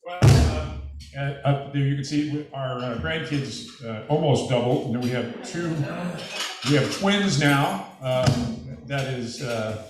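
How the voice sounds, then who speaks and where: A man's voice: mid-range voice, unhurried. An older man chats in a rambling way, dropping dry jokes between frequent "uh"s. He sounds far from the mic in a very echoey room, with faint noise behind him. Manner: rambling, dry humor, many "uh"s. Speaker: club member. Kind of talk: casual chat.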